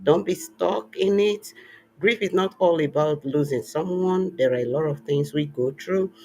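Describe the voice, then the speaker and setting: A man's voice: fairly high pitch, normal speed, mellow, slight background noise, poor audio. Earnest encouraging man hosting a talk show. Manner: earnest, encouraging, preacher-like cadence. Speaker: podcast host; worship leader. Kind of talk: warm closing remarks and personal story.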